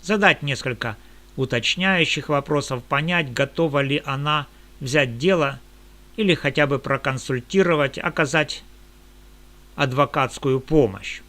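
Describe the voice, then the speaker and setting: A man who works as a lawyer, giving a measured, indignant monologue in formal legal language. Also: fairly high pitch, a bit bright.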